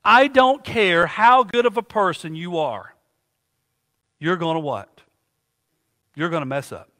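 A man's voice: high-pitched, animated, unhurried, pushed and bright, in a large hall. A man preaching a sermon to his congregation, calling out questions for them to finish. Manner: call-and-response preaching, asks the congregation to finish lines. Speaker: pastor. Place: church sanctuary.

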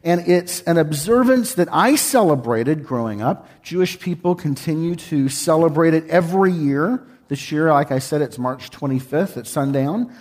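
A man's voice: on the high side, expressive, moderate pace, hardly any pauses, darkish tone. A man from Texas, a guest speaker giving a folksy, joking sermon in a church. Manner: folksy, joking, sings with congregation. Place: church sanctuary.

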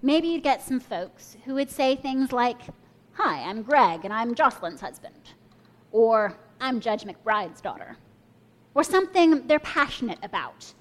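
A woman's voice: a little high, big pitch swings, a little slow. A young woman preaching a sermon, telling a personal story and inviting listeners to imagine along with her. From Kenya and the US.

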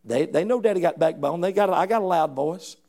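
Fervent gravelly-voiced middle-aged preacher. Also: male voice, sits high, brisk, dark tone. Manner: fervent, folksy preaching with frequent restarts and calls of "come on". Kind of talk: sermon.

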